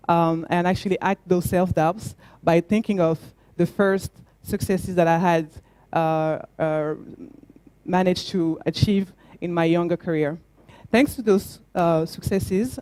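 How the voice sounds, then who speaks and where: A young adult woman with a French accent, a startup founder giving an earnest talk, often pausing with fillers and restarting her words. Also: fairly low pitch, a little mellow, no room sound. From France. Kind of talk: conference talk.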